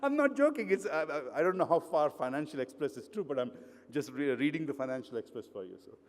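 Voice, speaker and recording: A middle-aged man from India, with an Indian accent, speaks at a hotel conference. His voice sits somewhat high for a man. He talks loosely, with frequent "uh"s and restarts, and long reverb from the hall hangs behind his words. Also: lively intonation, fast. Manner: conversational, digressive, many fillers and restarts. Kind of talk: conference presentation.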